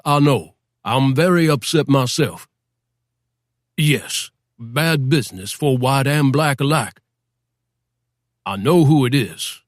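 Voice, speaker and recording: Shrewd old boss, slow folksy drawl, sly, dry read, man voicing him. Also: mid-range voice, no room sound. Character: shrewd older male boss, sly, feigning deference. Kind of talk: audiobook character voice.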